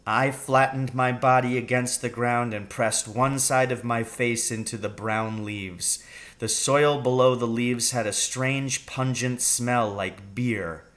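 A middle-aged man reading a children's novel aloud in a measured, suspenseful storytelling manner. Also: mid-range voice, a bit bright. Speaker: storybook reader. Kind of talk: children's novel read aloud, first-person narration. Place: room at home with wooden ceiling.

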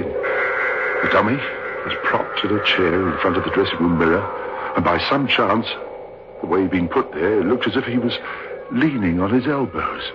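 An actor playing a troubled witness narrating an eerie old radio drama in the first person, uneasy and halting. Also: male voice, deep voice, heavy background noise, natural voice. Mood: tense, recounting. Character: troubled male witness recounting an eerie story. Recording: dull lo-fi old radio recording. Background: music.

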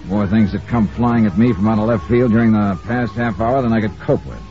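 An American man playing a brisk, wry freelance insurance investigator in a 1950s radio serial, clipped and hard-boiled. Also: lowish voice, lightly acted. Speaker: star of a radio detective serial. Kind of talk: 1950s radio acting, first-person narration. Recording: muffled 1950s radio recording, faint music.

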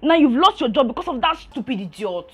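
Blunt, high-voiced Nigerian friend. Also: female voice, sing-song, a little fast, breathy edge, highs cut off, exaggerated voice. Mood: indignant. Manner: blunt, chiding. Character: blunt, exasperated female friend of the waitress. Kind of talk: screen drama acting.

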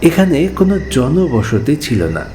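A man narrating a scripted horror story as a storyteller, in measured, suspenseful short phrases. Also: fairly high pitch, big pitch swings, dark tone, faint music behind.

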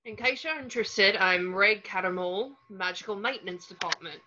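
A blunt young wizard lad read by a woman, casual and colloquial. The voice is bright and forceful, slightly muffled as in an amateur table read over a video call. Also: medium pitch, fairly quick, a little hiss or hum, close to an everyday voice.

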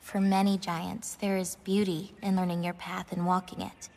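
A woman's voice: lowish voice, little pitch movement, normal speed, vocal fry. An actress playing a confident, playful young giant girl in a video game. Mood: calm, earnest. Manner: playful, teasing. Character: spirited, confident teenage giant girl, playful yet guarded. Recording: loud game music behind.

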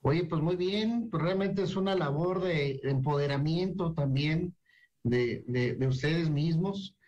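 Man hosting a radio interview, slightly higher voice, measured and reflective. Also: a little mellow, dull audio. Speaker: radio talk show host.

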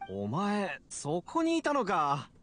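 A man's voice: high-pitched, huge pitch swings, takes it slowly, fairly bright tone, slight background noise, exaggerated voice. A man voicing an ambitious, obsessive young researcher in an anime game, speaking in a theatrical, grandiose way. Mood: exasperated, calling out. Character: ambitious, obsessive male researcher talking to his robot.

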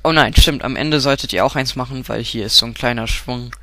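A man's voice: mid-range voice, brisk, bright, forceful tone, no room sound. A man with a stuffy cold nose, giving a casual game tutorial, rambling and often correcting himself. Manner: rambling, self-correcting, frequent filler sounds.

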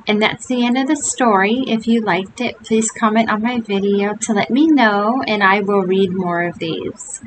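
An older woman reading a picture book aloud to young children, with gentle chatty asides. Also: mid-range voice, normal speed, hardly any pauses. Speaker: storybook reader. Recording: home recording with steady hiss.

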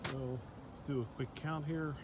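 A man beekeeper calmly narrating a hive check outdoors, filming with a handheld phone. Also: fairly high pitch, normal speed. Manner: calm, matter-of-fact commentary. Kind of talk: casual narration while filming. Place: outdoors among beehives in snowy yard. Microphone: handheld phone camera. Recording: outdoor phone recording with steady background noise.

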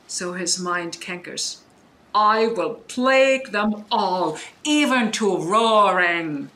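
Woman as a slow, imperious sorceress. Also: mid-range voice, fairly bright tone, poor audio. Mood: bitter anger. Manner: deliberate, imperious. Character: stern, commanding vengeful sorceress mistress. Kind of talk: Shakespearean radio play acting.

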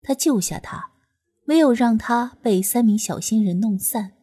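A woman reading an audiobook in expressive storytelling narration. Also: on the high side, measured pace, husky. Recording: narration over soft music.

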